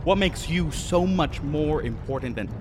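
A man's voice: a little high, lively intonation, background noise. An actor playing a vengeful Mayan man in an audio drama, mocking and menacing as he toys with an intruder. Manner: taunting, deliberate, menacing.

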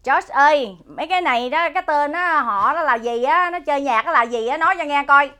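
Brief: female co-host, higher bright voice, clear tone, teasing and excitable. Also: quick pace. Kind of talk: playful banter.

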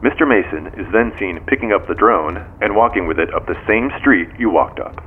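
Low-voiced American detective on the phone. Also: male voice. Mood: matter-of-fact. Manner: measured, formal, probing. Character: probing, composed male police detective. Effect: phone call. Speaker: police detective. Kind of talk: modern audio drama dialogue. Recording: tinny phone line with background noise.

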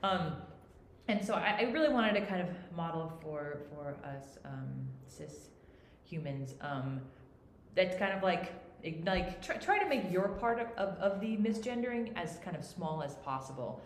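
A woman speaking as a writer in an unscripted panel discussion, halting and often restarting as she searches for words. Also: lowish voice, very animated. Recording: echoey room, faint background noise.

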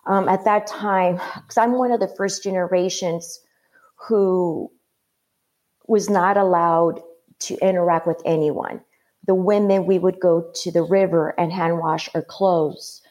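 A woman from Mexico telling her life story as an interview guest, earnest and halting, with many fillers. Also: on the low side, mellow.

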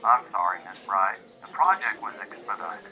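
Through a very bright telephone filter comes a businessman in an audio drama. He is courteous and businesslike, apologetic yet firm. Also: lowish voice, animated, normal speed. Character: polite, businesslike company man, apologetic but firm. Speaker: actor.

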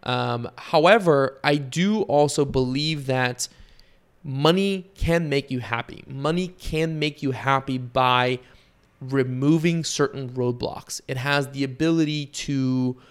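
A young man from Bulgaria hosting a solo podcast and talking to his listeners in a relaxed, conversational way. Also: medium pitch, takes it slowly, clear, resonant tone. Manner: conversational, with fillers and asides to listeners. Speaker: podcast host. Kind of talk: casual solo podcast monologue.

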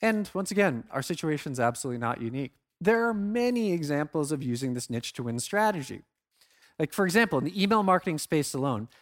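A man presenting a conference talk in an informal, conversational way, leaning on key phrases for emphasis. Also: a little high. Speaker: conference speaker, product marketer.

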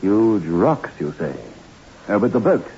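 An actor playing a calm, firm doctor, soothing yet brisk, in an old radio drama. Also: male voice, lowish voice. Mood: probing. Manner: soothing but brisk, commanding. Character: calm, firm, reassuring male island doctor. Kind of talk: 1960s radio drama acting. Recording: muffled, noisy old radio recording.

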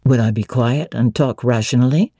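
A woman voicing a brooding gentleman bridegroom in a classic novel reading, wounded, coaxing and indignant. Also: extremely low pitch, normal speed, dry recording, studio quality, pitched below the performer's own voice. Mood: exasperated.

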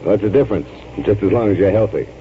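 A man's voice: fairly low pitch, quick pace, lightly acted. An actor playing a reluctant, cynical newspaperman with a dry wisecracking manner, in 1940s radio style. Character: wry, reluctant young newspaperman, easygoing and cynical. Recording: dull, hissy 1940s radio recording.

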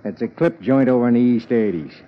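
An actor playing a gruff, shady American tough guy in a 1950s radio crime drama, curt, slangy and bossy. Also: mid-range voice, a little fast, a little hiss or hum, natural voice. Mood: brusque. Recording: dull lo-fi old radio recording.

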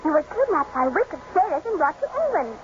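An actress playing a willful, impetuous child in a 1950s radio drama, speaking emphatically. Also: female voice, sits high, big pitch swings, fairly quick. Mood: coaxing. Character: willful, quarrelsome children of a moorland household. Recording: muffled, noisy old radio broadcast.

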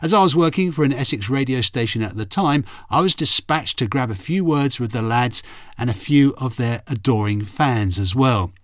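A middle-aged man with a croaky, hoarse voice, a radio reporter narrating his memories in a friendly, chatty way. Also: mid-range voice, animated, moderate pace, dry recording, studio quality. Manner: friendly, chatty, self-deprecating. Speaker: radio reporter and podcast host. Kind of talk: reflective storytelling narration.

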